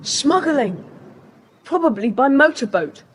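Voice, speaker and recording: A woman's voice: high-pitched, animated, takes it slowly. Confident boy leader, echoing, British. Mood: explaining, assured. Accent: British English. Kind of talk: children's audiobook drama acting. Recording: hissy cassette tape, long reverb.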